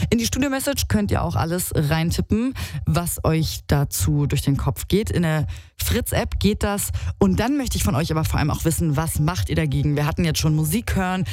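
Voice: medium pitch, darkish tone. A radio host, chatting with callers on a live call-in show in an upbeat way, with lots of fillers. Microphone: studio microphone.

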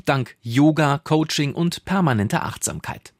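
A man's voice: on the high side, unhurried, dry recording. Male culture reporter, dry close sound. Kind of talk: scripted feature narration.

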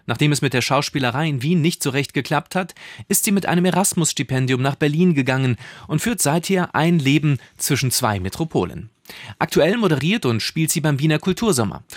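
A man's voice: medium pitch, no echo, studio quality. Polished male radio reporter narrating. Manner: even, polished reading. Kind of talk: scripted radio feature narration.

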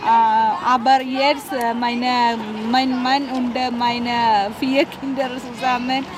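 A high-voiced woman with an Indian accent answers slowly and haltingly as a non-native speaker. Clear noise and chatter surround her. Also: level tone. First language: not German. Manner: halting, searching for words. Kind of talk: interview answer. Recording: noisy busy location, chatter, traffic-like ambience.

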